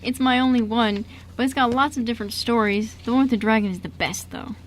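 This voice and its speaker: A woman's voice: on the high side, brisk, close to an everyday voice. A woman playing a bookish, shy six-year-old boy who stammers a little in an amateur radio drama. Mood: keen. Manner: hesitant, stammering, boyish. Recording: noisy amateur radio recording.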